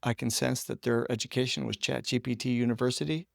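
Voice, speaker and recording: Gravelly-voiced male podcast host. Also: medium pitch, steady tone, fast, no echo, clean studio sound. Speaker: podcast host interviewing a guest. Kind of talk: casual conversational interviewing.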